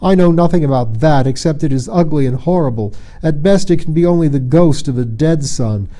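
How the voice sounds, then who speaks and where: A proud young fairy-tale man, acted out by a male reader, frightened and sulky. He declaims with indignation, his pitch lifted above a very mellow, dark timbre. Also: a little fast, lightly acted. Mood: scornful.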